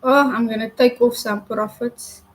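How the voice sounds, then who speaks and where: A woman's voice: fairly high pitch, fairly even intonation, low-quality recording. A young woman whose first language is Afrikaans, chatting casually on a live stream while she trades, often restarting and correcting herself. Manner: halting, many restarts and self-corrections.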